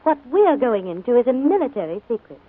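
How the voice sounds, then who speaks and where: A woman's voice: fairly high pitch, sing-song, brisk, slightly rough. A woman playing a chatty, proud, genteel talking stocking in a 1940s radio commercial. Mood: gossipy, marvelling. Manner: genteel, gossipy. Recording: tinny, narrow-band 1940s radio recording.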